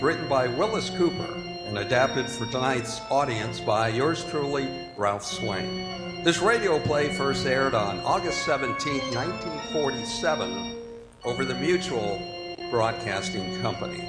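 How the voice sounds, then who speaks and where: A male host announces in the manner of old-time radio, formal and measured. His pitch is medium. The live stage recording is roomy, with music clearly playing behind him. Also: expressive. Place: performing arts center stage.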